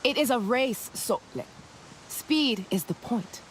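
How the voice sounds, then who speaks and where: A cocky clan racer in a fantasy game. She is animated in pitch, taunting in short, challenging phrases. Faint noise sits behind her. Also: slow pace, poor audio. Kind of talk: game character acting.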